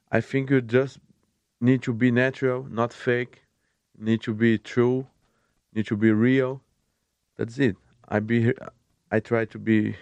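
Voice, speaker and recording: A young man with a Brazilian Portuguese accent, a podcast guest speaking halting English and often restarting words. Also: medium pitch, darkish tone, clear, resonant tone, dry recording. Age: young adult. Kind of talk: casual interview chat.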